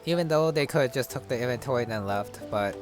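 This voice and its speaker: A man giving casual, unhurried gameplay commentary, muttering his reactions as he plays. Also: medium pitch. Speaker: gamer, let's player. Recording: game music and battle sounds behind. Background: loud video game music, crashes.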